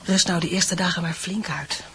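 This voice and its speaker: A woman's voice: lowish voice, steady tone, moderate pace, fairly bright tone, breathy edge, full character voice. An actress playing a worried, protective middle-aged mother in a radio play, fussing and emotional. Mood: caring. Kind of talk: radio play acting in Dutch.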